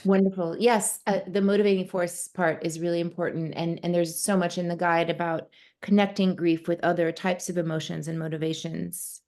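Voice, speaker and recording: Low gravelly-voiced woman interviewer. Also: flat delivery, normal speed, darkish tone. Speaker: host and advisor. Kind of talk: conversational interview.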